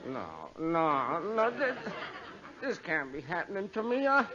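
High-pitched, stammering, frantic store clerk. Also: male voice, sing-song, a little slow, a loud crowd. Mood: anguished, breaking down. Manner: stammering, whimpering, near breakdown. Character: distraught, hard-pressed male department store clerk. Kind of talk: 1940s radio comedy acting. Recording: dull old 1940s radio recording.

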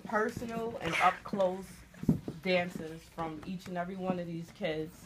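Earnest woman with a lowish voice. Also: fairly even intonation, measured pace, very noisy. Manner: earnest, proud, explaining. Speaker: dance studio director. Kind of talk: radio guest interview. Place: radio studio.

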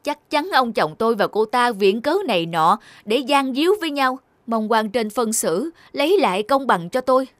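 An actress playing a feisty middle-aged butcher's wife in a cartoon, scolding and insistent. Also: female voice, on the high side, expressive, moderate pace, hardly any pauses, dry recording, lightly acted. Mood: accusing. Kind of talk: cartoon voice acting.

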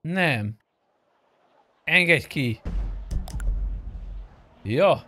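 A man's voice: fairly high pitch, expressive, sharp tone, slight background noise. A man chatting informally and asking questions while playing an online roleplay game. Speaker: gamer. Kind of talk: casual chat during online roleplay gameplay.

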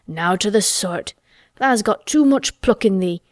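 A woman's voice: medium pitch, lively intonation, dry recording, close to an everyday voice. Woman voicing a crabbed old Yorkshire gardener man. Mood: gruff exultation. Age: older. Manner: dry, slow, gruffly obstinate.

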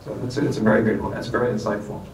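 A man's voice: lowish voice, level tone, rapid-fire, darkish tone, slight background noise. A man on a science panel answering audience questions, explaining with everyday analogies in a lecture room. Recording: echoey room from far off.

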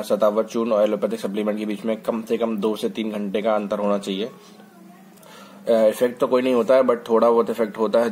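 A man's voice: fairly low pitch, darkish tone. A man talking casually to camera in a product review vlog, with hesitant fillers and restarts, recorded indoors on a phone. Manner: informal, fillers and restarts, mixes English words. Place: indoors, hands handling bottle on a table.

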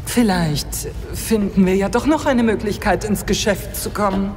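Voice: medium pitch, normal speed, darkish tone, slight background noise, natural voice. An actor playing a scheming captor in a sci-fi audio drama, drawing out his taunts. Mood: sneering. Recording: reverberant space.

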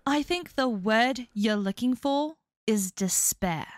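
A woman's voice: a little high, lively intonation, slow pace, dry recording, clean studio sound. A woman playing a sardonic oracle with a grudge in an audio roleplay, mocking and theatrical. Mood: menacing, mocking. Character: sardonic, grudge-holding female oracle of the gods.

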